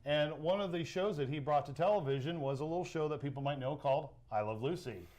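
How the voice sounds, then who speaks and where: A middle-aged American man chatting casually as an interview guest, telling personal stories in an animated way. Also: a little high, fast talker. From Southern California, United States. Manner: animated storyteller, acts out quoted dialogue. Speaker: interview guest, sports announcer. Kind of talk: casual chat, personal storytelling.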